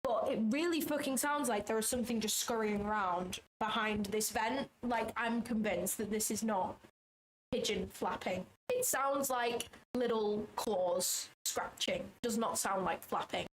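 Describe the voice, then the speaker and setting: A woman's voice: on the high side, a little slow, a little hiss or hum, low-quality recording. A woman hosting a trading livestream from her office, chatting casually, thinking aloud, second-guessing herself and swearing now and then. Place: small office.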